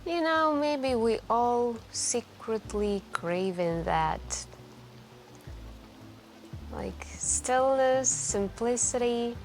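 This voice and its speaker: A woman playing a mysterious, lonely late-night café stranger in an intimate ASMR roleplay, soft-spoken and wistful, musing hesitantly with lingering pauses. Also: a little high, full character voice. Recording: background music.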